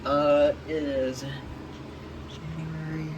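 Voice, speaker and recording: A man thinking aloud in a slow, halting way while setting up a device, with many pauses and okays. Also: a little high, little pitch movement, highs cut off. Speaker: hobbyist vlogger unboxing a weather radio. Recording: loud background noise.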